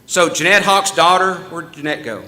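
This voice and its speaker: A man's voice: on the high side, lively intonation, normal speed, pushed and bright. A man giving casual church announcements in a folksy, chatty way, laughing easily and restarting his sentences. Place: church meeting room.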